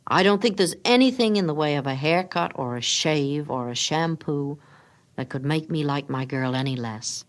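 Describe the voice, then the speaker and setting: A woman voicing a gentle young husband, plain-spoken and tender, in a dramatic storybook reading. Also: low voice, normal speed, lightly acted. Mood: tender, reassuring.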